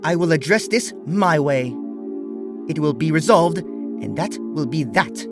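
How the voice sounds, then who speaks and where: A haughty royal pony princess, played by a man, speaks in a raised pitch. She is imperious and easily huffed, declaring herself formally as storybook royalty, with music playing behind. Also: lively intonation, unhurried. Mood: huffy, defiant.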